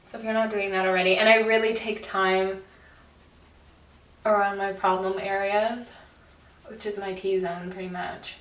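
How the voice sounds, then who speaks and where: A young woman with a stuffy, congested voice chats casually and self-deprecatingly to camera. She sounds set back from the mic in a roomy bathroom. Her pitch sits in a middle range. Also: little pitch movement, a little slow, long pauses, a bit bright. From Canada. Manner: chatty and self-deprecating, laughs at herself. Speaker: beauty vlogger. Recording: roomy, slightly distant audio.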